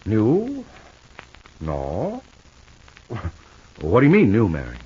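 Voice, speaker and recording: A dull old radio recording holds a devoted, stubborn husband in a soap opera. His voice is fairly low and rough, with lively rises and falls. He is affectionate and offhand, yet firm about looking after his wife. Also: takes it slowly, heavily acted. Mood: evasive, offhand. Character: protective, stubbornly loving husband, a famous actor.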